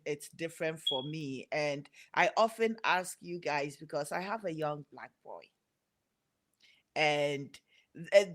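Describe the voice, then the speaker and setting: A woman who immigrated to Canada, hosting a podcast interview, halting and restarting as she talks. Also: sits low. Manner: slow, halting, stammers on restarts. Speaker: podcast host. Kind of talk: interview conversation.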